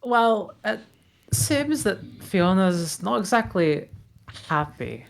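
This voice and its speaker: A woman playing a blunt, sly male ogre in a comic table read, talking in a deliberate, wry way. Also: mid-range voice, very animated, vocal fry, slight background noise, heavily acted. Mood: hesitant, blunt. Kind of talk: comic table read of an animated film script.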